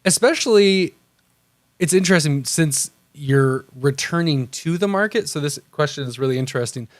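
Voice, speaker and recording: Lively upbeat male podcast host. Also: a little high, moderate pace, dry recording. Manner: enthusiastic, affirming. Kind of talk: casual interview chat.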